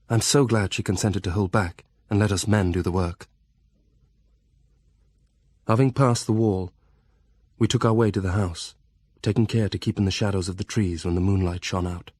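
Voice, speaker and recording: Audio drama: man as a sober young Victorian solicitor, lowish voice, measured journal reading, dry sound. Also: a little mellow, no room sound, natural voice.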